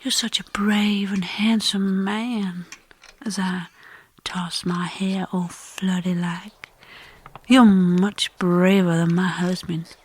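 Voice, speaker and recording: A woman playing a coy, flirtatious rural farm wife with a whispery, breathy voice in a comedy western. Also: fairly low pitch, steady tone, takes it slowly, a little hiss or hum. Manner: coy, folksy, sultry. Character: flirtatious, shameless rural farm wife, narrating her own poses. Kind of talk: comedy western audio drama.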